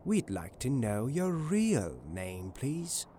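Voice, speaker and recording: A man playing a confident, shrewd detective in a dramatised audiobook, polite, measured and knowing. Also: medium pitch, wildly swooping pitch, mellow, a little hiss or hum. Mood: polite, probing.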